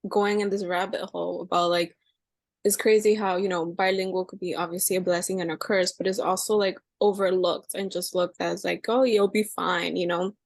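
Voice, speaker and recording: A woman's voice: lowish voice, flat delivery, moderate pace, gravelly, dry recording. A woman hosting a podcast who chats casually and rambles with many "like" fillers. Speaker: podcast host.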